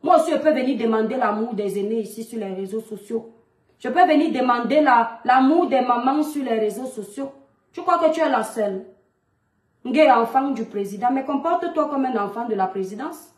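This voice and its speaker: High-voiced scolding Cameroonian woman. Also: expressive, normal speed, echoey room. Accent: Cameroonian French. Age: 30s. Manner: scolding, lecturing, rhetorical questions. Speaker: mother addressing a public figure online. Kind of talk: monologue video rant.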